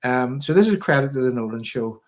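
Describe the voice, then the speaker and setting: A man's voice: mid-range voice, lively intonation, a little fast. A man with a Northern Irish accent hosting a live political commentary show, talking to viewers in a rambling, repetitive, emphatic way.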